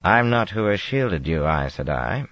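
A commanding young lord, icy and threatening, his voice deep and low, as a male narrator reads him. The recording is dry and somewhat dull. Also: very animated, unhurried, a bit bright, no echo, exaggerated voice.

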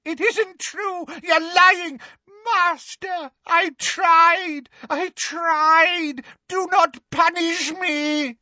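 A woman voicing a fanatical dark witch from a storybook, shrieking and servile, with shrill pleas to her master. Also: steady tone, extremely slow, few pauses, no room sound, lo-fi sound, heavily acted. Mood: terrified, pleading.

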